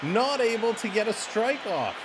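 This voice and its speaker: A man's voice: high voice, sing-song, moderate pace, heavy background noise. A man hosting a live stream with casual commentary on a football match. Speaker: host of live watch-along stream.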